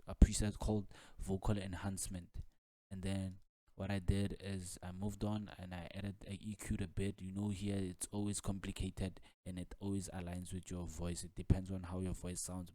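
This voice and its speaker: A deep-voiced man talks through a music software tutorial in a relaxed, flat tone. He explains loosely and informally, often circling back over his words. The sound is close and dry. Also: no echo. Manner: casual, repetitive filler-heavy explaining with frequent "like" and "man".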